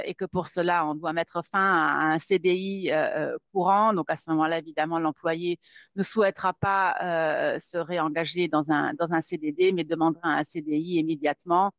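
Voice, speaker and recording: A woman's voice: fairly low pitch, no echo. A woman giving a webinar as a lawyer, talking with many fillers and repeated words over a webcam on a video call. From Netherlands. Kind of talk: hesitant spoken presentation. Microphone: webcam or laptop mic on a video call. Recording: dull, dry lo-fi webinar audio.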